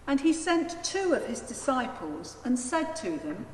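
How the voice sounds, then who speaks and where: A woman's voice: high voice, big pitch swings, a little slow. A woman narrating a dramatised Gospel in a formal, declamatory reading style. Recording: echoey church hall, faint noise.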